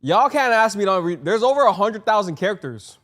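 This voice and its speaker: A man's voice: sits high, big pitch swings, fairly quick, a bit bright. A man from Canada whose first language is Cantonese, hosting a podcast and running its segments in joking casual chat. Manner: runs the segments, joking and swearing. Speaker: podcast host.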